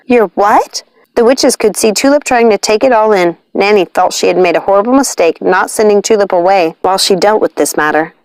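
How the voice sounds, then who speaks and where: A woman's voice: mid-range voice, a little fast, few pauses, smooth, no echo. A woman narrating a scripted story as an audiobook reader.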